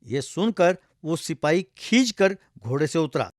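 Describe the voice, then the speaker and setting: A man reads a scripted comic folktale as narrator. His voice is high for a male speaker. The recording is close and dry, with no room sound. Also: expressive, a little slow, no echo. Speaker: storyteller.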